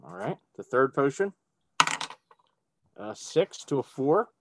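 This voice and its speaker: A man's voice: medium pitch, very animated, slow pace, background noise. A man speaking casually as a player in a tabletop role-playing game, reading out his dice rolls. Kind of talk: casual chat.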